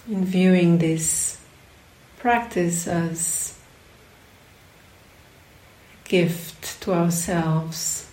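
A woman leading a guided meditation as a teacher, speaking in short, unhurried phrases with long pauses between them. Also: fairly low pitch, mellow. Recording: roomy, echoing sound.

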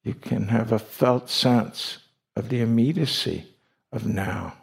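A man leading a guided meditation as a teacher, speaking deliberately in short phrases with long pauses between them. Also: medium pitch, steady tone, creaky. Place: meditation retreat hall.

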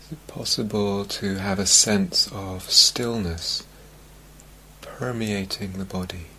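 Slow, low-voiced man guiding meditation. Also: a little hiss or hum, rough recording. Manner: calm, gentle, unhurried with long phrasing gaps. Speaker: meditation teacher. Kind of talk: guided meditation. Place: meditation retreat talk, recorded live.

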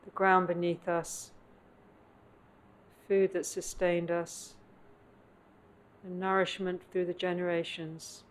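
A woman meditation teacher leading a guided meditation in contemplative, measured phrases with long pauses, recorded live at a meditation center. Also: on the low side, flat delivery. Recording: clear background noise, live room ambience.

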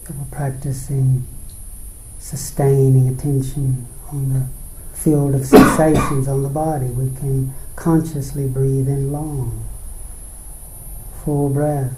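A man teaching guided meditation, speaking in short, unhurried phrases with pauses between them. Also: medium pitch, level tone, background noise. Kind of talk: guided meditation, dharma talk. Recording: roomy, echoing space.

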